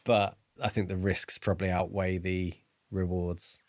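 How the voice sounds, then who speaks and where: A man co-hosting a podcast, chatting about books in a measured, informal and conversational way. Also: sits low, level tone, dry recording, studio quality. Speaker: book podcast co-host. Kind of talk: casual book discussion.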